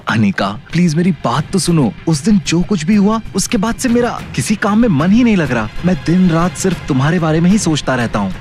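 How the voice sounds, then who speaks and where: An actor playing a wealthy, ambitious ex-husband and obsessed suitor in an audio drama. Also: male voice, on the high side, big pitch swings, fairly quick, hardly any pauses, darkish tone. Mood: pleading, lovesick. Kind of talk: Hindi audio drama acting. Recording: music bed behind voice.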